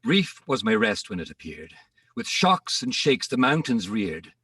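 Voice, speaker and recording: A man giving a theatrical dramatic reading of rhymed verse, with deliberate pauses, recorded in an echoing room at some distance from the microphone. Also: medium pitch, sing-song, sharp tone, slightly rough, soft background music. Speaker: poetry reader. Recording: roomy echo, distant microphone.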